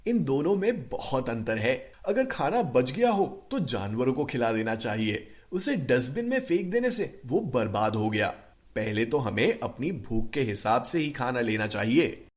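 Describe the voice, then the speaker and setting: Animated male story narrator. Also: mid-range voice, unhurried. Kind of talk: scripted children's story narration.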